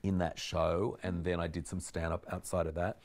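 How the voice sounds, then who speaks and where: A man's voice: low-pitched, little pitch movement, moderate pace, a little mellow. A man in his forties, an actor, chatting animatedly in an interview in a living room and laughing often. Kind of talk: casual interview chat. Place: living room with bookshelves, indoors.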